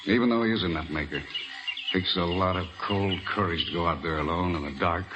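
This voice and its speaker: An actor in an old radio drama playing a respectful, plain-spoken army captain serving under a general. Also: male voice, deep voice, fairly quick, lightly acted. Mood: admiring, uneasy. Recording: muffled, noisy old radio recording.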